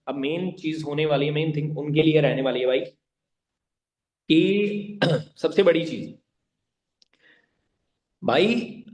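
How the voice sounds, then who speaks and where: A man giving informal online training, emphatic and repetitive, addressing listeners directly and mixing in English words. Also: a little high. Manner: mixes English words and phrases into speech. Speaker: online network-marketing trainer. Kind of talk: informal instructional talk to an online meeting.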